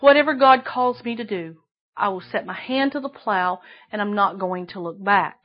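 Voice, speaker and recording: A woman preaching a sermon with a shrill voice, emphatic and repeating phrases for stress. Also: expressive, normal speed, muffled recording.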